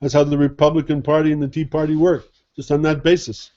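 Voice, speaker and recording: Man preaching, mellow lo-fi sound. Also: fairly high pitch, little pitch movement, fast talker. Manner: conversational, rhetorical questions, mimics others' attitudes. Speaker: Sufi sheikh teaching. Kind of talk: informal spoken sermon. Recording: dull lo-fi recording.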